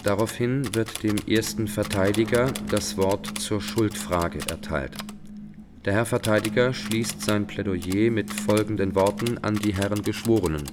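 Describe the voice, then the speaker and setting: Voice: deep voice, measured pace, background noise. An actor playing a dry, official court reporter who narrates the trial minutes in a radio play. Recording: loud typewriter clatter behind.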